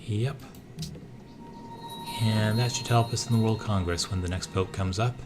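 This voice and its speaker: A hoarse man casually thinking aloud, with many pauses and filler sounds, as he narrates his moves in a strategy game. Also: lowish voice, steady tone, over music. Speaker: let's-play gamer.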